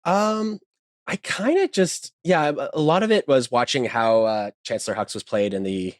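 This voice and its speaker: A man's voice: mid-range voice, normal speed, no room sound, clean studio sound. A man chatting casually as a podcast guest. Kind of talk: casual chat.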